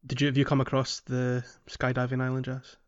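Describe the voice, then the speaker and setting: A man's voice: mid-range voice, monotone, brisk, smooth, highs cut off. A man hosting a casual gaming podcast, explaining things in a hesitant, meandering way. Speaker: podcast host.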